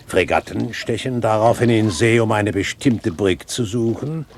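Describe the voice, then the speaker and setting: An actor playing an elderly pirate captain, ironically polite and commanding, in a German radio play. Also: male voice, lowish voice, normal speed, a little hiss or hum, natural voice. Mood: ironic, smug. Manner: suave, ironically formal, commanding.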